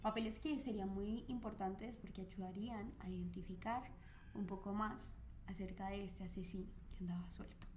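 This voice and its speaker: A woman's voice: mid-range voice, steady tone, normal speed, darkish tone, slight background noise. A woman, recounting a crime case on a chat podcast with frequent fillers. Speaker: co-host.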